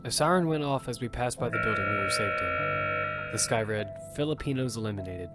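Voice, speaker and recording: Man voicing a hardened survivor, low voice, grim narration, music underneath. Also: sing-song, a little fast, creaky. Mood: steady. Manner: grim first-person narration, shouting in combat. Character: battle-hardened male survivor, steady team leader. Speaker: narrator and lead character. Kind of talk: audio fiction narration.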